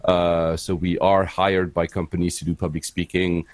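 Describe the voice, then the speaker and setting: Deep-voiced Swiss man, rambling chat. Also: little pitch movement, moderate pace, no echo. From Switzerland. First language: French. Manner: rambling, many fillers and restarts. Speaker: podcast guest. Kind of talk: casual chat on a live stream.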